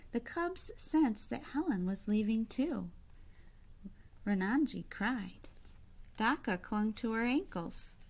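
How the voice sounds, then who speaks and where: Slow-paced woman reading a picture book aloud. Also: medium pitch. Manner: deliberate, expressive phrasing, pausing to comment to young listeners. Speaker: read-aloud storyteller, teacher-like. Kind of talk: picture book read-aloud with brief asides.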